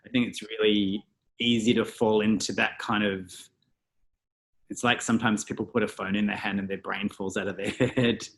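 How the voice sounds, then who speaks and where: Low-voiced Australian man musing aloud. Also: flat delivery, normal speed, a bit bright. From Australia (Melbourne). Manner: reflective, thinks aloud with many "um" and "you know". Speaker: guest, stylist and photographer. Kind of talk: casual interview chat.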